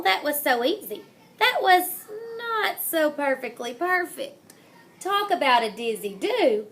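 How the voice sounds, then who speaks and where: A woman reads a children's story in a high, very lively voice. She takes her time, delivering each phrase dramatically and lingering over rhymes and playful words. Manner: dramatic, deliberate, savors rhymes and wordplay. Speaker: storybook reader. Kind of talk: expressive children's picture-book read-aloud.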